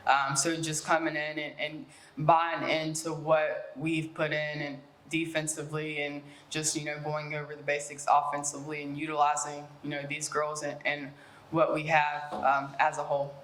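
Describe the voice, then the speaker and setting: A woman's voice: low-pitched, moderate pace, echoey room, a little hiss or hum, low-quality recording. A woman head basketball coach from West Virginia, answering media questions in an easygoing way with lots of fillers. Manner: relaxed, many fillers and restarts. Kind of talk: media day interview answers.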